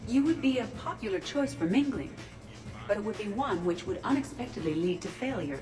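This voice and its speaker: A woman's voice: medium pitch, lively intonation, a little fast, natural voice. A woman voicing a polite artificial intelligence with a precise, matter-of-fact, literal way of talking. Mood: frank. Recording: slightly distant, music behind.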